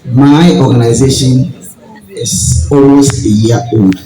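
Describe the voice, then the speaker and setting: A man with a deep voice and a Ghanaian accent gives a public talk, deliberately, in short phrases and questions to the audience. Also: steady tone, warm tone, soft background music. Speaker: guest speaker, farming group leader. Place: event venue with audience. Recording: distant, echoey hall with audience noise.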